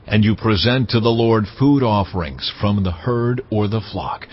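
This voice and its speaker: An actor playing God as a stern, commanding lawgiver, delivering solemn decrees deliberately in a dramatized scripture reading. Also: male voice, lowish voice, husky, muffled recording. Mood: solemn, instructive. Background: background music.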